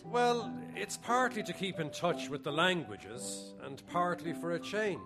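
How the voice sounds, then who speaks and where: An actor playing a reserved Irish gentleman, polite but defensive under questioning. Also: sits high, very animated, unhurried, music in the background, exaggerated voice.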